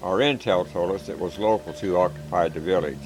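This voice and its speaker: A man reading a first-person war story in a calm, measured, plain-spoken way. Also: sits low, low-quality recording. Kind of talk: scripted first-person narration. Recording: background music.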